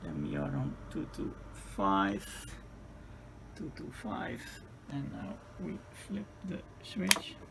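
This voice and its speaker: A man with a heavily creaky, fry-laden voice that sits a little high talks through a hands-on technical demonstration. He explains piece by piece, haltingly, repeating phrases as he goes. Clear background noise runs under the home-made recording. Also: very animated. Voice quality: creaky, gravelly voice. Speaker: radio repair tutorial host. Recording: clearly noisy home-made video sound.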